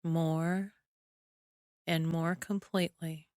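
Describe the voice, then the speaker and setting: Female guided imagery narrator: soothing, measured delivery, clean smooth tone, very unhurried, with long pauses. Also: low voice, flat delivery, darkish tone, dry recording, clean studio sound. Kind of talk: guided relaxation meditation, scripted narration.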